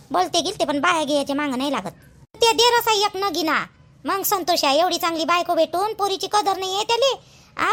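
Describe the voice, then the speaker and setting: A woman's voice: extremely high pitch, a bit bright. A woman playing an aggrieved, talkative village daughter-in-law in a cartoon, chatty and emphatic, with a Vidarbha Marathi accent. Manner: chatty, complaining, emphatic with repeated phrases. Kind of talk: colloquial cartoon gossip dialogue.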